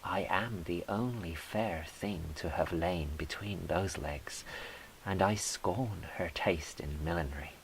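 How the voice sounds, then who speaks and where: A man reading poetry in a measured, deliberate, wry way, as a poet performing his own work. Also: low voice, low-quality recording. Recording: faint background hiss.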